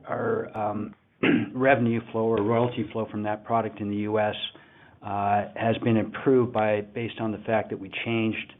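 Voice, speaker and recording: A male company executive giving halting, measured, unscripted answers on an investor call. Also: fairly low pitch, level tone. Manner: halting, measured, frequent pauses. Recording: tinny phone line.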